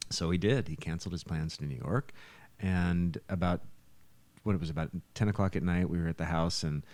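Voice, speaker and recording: Very deep-voiced man chatting casually. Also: fairly quick, a little mellow, no room sound. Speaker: musician guest on a podcast. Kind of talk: casual storytelling chat.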